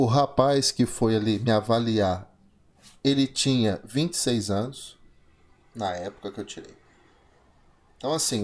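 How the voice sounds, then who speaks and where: Brazilian man, forties, motivational talk host, repetitive and emphatic, mid pitch. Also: darkish tone. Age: 40s. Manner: emphatic, repetitive, rhetorical questions. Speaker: trading course host. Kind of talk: motivational monologue.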